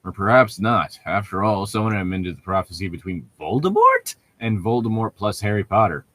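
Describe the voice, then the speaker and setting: Low, animated man, irreverent and jokey. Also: normal speed, dry recording. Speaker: co-host reading fan fiction aloud. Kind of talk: casual read-aloud with joking commentary.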